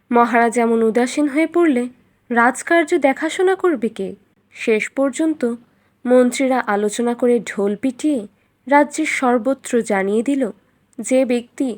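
A woman storyteller reading a scripted fairytale in an expressive, dramatic way. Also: fairly high pitch.

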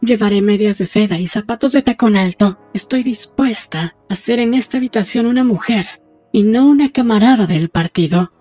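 A woman's voice: medium pitch, normal speed, warm tone, husky, no echo, faint music behind, close to an everyday voice. A woman playing a playful, defiant young woman in love in a dramatised audiobook, speaking with intimate assurance. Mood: playful, determined.

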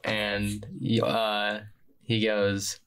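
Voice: deep voice, completely flat delivery, very slow, a bit bright, smooth. A player in a tabletop game, chatting and narrating casually.

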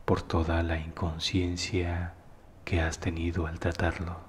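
A man narrating a guided meditation in a calm, soothing, unhurried manner, with phrases that often trail into breathiness. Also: low-pitched, in a large hall, slight background noise.

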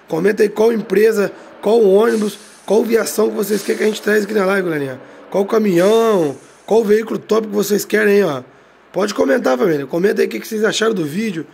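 A man with a Rio de Janeiro accent, hoarse from a cold, giving hyped slangy commentary over a bus game, each phrase ending on a low quiet tag word. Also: slight background noise. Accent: Brazilian Portuguese, Rio de Janeiro. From Brazil. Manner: slangy, drags out catchphrases, tag words drop low and quiet. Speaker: game streamer.

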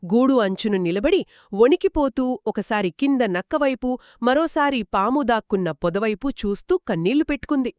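A woman's voice: a little high, animated, fairly quick, a little mellow, no room sound. Engaged female children's storyteller, dry sound. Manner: engaged, dramatic, addresses young listeners. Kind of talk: conversational children's storytelling dialogue.

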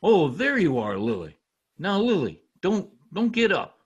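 A man's voice: high-pitched, huge pitch swings, unhurried, husky, lo-fi sound, full character voice. A middle-aged man playing an anxious, pompous, theatrical husband in old-time radio comedy style. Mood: tender. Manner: dramatic, exclaiming. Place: home office, over video call. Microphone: video call computer mic.

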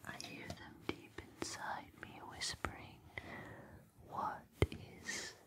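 A woman hosting an ASMR personal-attention roleplay in a breathy, soothing whisper, intimate and unhurried, close on a binaural microphone. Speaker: ASMR host.

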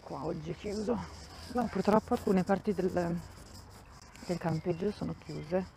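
Woman walking around a campsite on her phone, mellow voice, rambly, loses her sentences then circles back, faint birds outside. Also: fairly low pitch, unhurried, vocal fry, slight background noise. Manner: rambling, thinking aloud, often restarts sentences. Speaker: vlogger touring a campsite. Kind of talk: casual walking tour commentary. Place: outdoors in a wooded campground, walking.

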